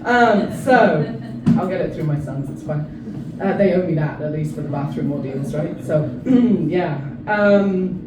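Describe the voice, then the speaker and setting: A South African-born woman comedian in her thirties tells her set in a chatty, self-mocking way and keeps breaking into laughter. Her voice rings around an echoey club room and sits well back from the mic, with steady noise and room murmur behind her. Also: low-pitched, a little slow, recorded from a distance. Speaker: stand-up comedian.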